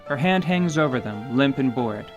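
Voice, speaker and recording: A narrator describing action in a fantasy radio drama with even, descriptive delivery. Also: medium pitch, moderate pace, over music.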